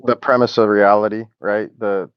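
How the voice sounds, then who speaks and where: Man, deep voice, dry muffled audio, curt, insistent, technical. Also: flat delivery, moderate pace, smooth, dry recording. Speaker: debater on a call. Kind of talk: explaining in an online debate.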